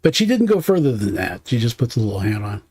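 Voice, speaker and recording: A man in a casual chat who mostly chimes in with short, easygoing remarks. His voice is mellow and dark in tone, recorded dry and close. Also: mid-range voice, sing-song, fast talker, slightly rough, dry recording. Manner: brief, easygoing remarks and interjections.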